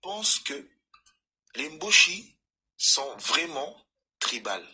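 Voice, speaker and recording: A man from Congo-Brazzaville calling into a live stream, speaking formally and deliberately about politics. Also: fairly high pitch, very animated, bright, forceful tone, slightly rough. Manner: articulate, formal, deliberate phrasing. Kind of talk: measured political commentary.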